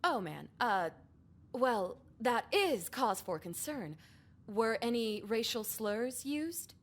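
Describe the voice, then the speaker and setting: An actress playing a vain, evasive high school principal in a visual novel game, breezy and deadpan. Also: female voice, slow, heavily acted.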